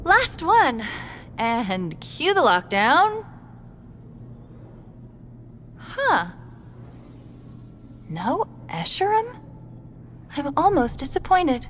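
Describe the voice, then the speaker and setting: High, playful AI companion. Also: female voice, wildly swooping pitch, drawn-out words, long pauses, a bit bright, slight background noise, heavily acted. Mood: playful, wry. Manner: wry, bubbly teasing. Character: young, playful female AI companion, confident and quippy. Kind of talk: video game cutscene acting.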